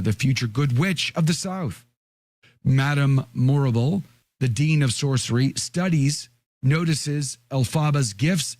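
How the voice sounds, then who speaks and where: A man with a hoarse, raspy voice from a cold reads an article at a measured pace, adding his own comments. His pitch sits in an ordinary middle range. The sound is dry and close, with no room echo. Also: darkish tone. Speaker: podcast host. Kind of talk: reading an article aloud with commentary.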